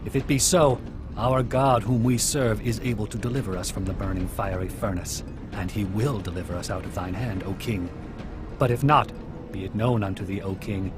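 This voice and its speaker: Defiant faithful captive for scripture drama, male actor: resolute, measured, lower register, over music. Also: fairly even intonation, lightly acted. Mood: calm defiance. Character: steadfast, defiant, devout captive man. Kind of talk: dramatized scripture audiobook acting. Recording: music behind the voice.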